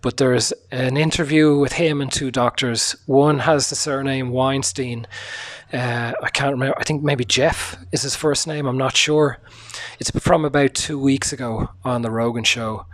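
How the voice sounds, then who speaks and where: A sick man hosting a casual solo podcast, speaking quietly with a hoarse, tired voice, swearing often and using many fillers. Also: mid-range voice, steady tone, measured pace. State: sick, low energy, voice hoarse. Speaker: podcast host.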